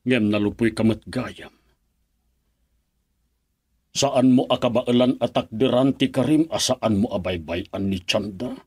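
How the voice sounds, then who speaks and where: A man with a Filipino accent acting a stern, unforgiving middle-aged father in a radio drama, cold and raspy. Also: fairly low pitch, a little mellow, heavily acted. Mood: scornful. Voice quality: raspy, gravel-edged. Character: stern, unforgiving father protecting his daughter.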